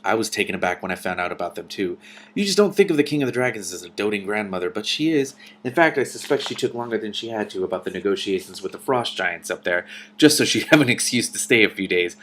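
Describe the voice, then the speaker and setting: A storybook young woman, read by a man, frank and matter-of-fact with a worried streak. The pitch sits fairly low, and faint noise runs behind. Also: expressive, fast talker, fairly bright tone. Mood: worried, explanatory.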